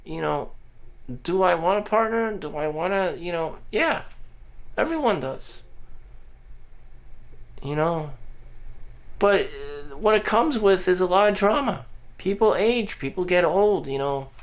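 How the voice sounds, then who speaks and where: A man's voice: high voice, animated, measured pace, pauses often. A man talking bluntly in a rambling personal monologue for his vlog, full of "you know" fillers, restarts and casual swearing. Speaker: vlogger. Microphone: audio-only recording.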